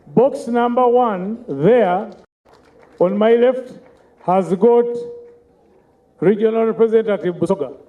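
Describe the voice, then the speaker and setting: This is a man running a party election. He gives curt procedural instructions and calls time on speakers. His voice is fairly high, and the recording is thin and phone-like. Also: slow pace, long pauses. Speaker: presiding officer. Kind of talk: procedural announcements. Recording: tinny phone-like sound.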